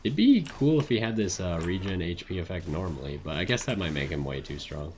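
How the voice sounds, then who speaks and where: A man's voice: sits low, animated, a little fast, darkish tone, slight background noise. A man streaming a video game, giving laid-back casual commentary as he muses aloud.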